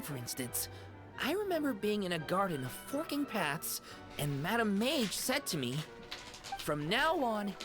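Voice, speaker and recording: An actress playing a sleepy, muddled young female concierge in a video game, polite and earnest, with dreamy, halting, theatrical storytelling. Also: mid-range voice. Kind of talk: scripted video game character dialogue. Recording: game music behind the voice.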